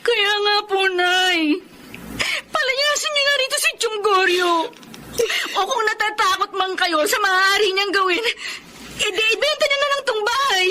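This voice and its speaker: An actress with a Filipino accent playing a desperate, defiant young daughter, tearful and insistent, in a radio melodrama. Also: female voice, very high-pitched, measured pace, background noise. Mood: urgent pleading.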